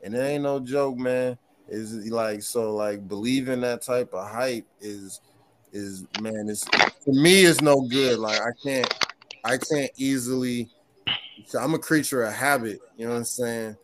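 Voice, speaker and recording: A man's voice: mid-range voice, measured pace, slight background noise. Man with a thin voice, rambling. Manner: rambling, with frequent restarts and trailing thoughts. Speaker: guest hip hop artist. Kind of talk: casual podcast conversation.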